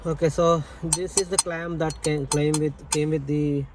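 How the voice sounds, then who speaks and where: A man giving a casual hands-on installation tutorial, explaining haltingly and correcting himself as he goes. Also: fairly high pitch, little pitch movement. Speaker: DIY installation presenter.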